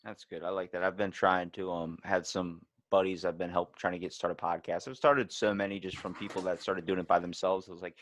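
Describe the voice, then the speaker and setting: A man's voice: low-pitched, steady tone, a little fast, hardly any pauses, a bit bright, a little hiss or hum. A man hosting a podcast in casual chat, rambling along in slang with some swearing. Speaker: podcast host.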